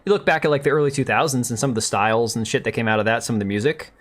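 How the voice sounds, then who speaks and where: An American man in his thirties hosting a live stream, ranting in a loose, sarcastic, rambling way with a hoarse voice. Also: medium pitch, very fast, fairly bright tone. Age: 30s. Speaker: livestream host. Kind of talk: casual live commentary rant.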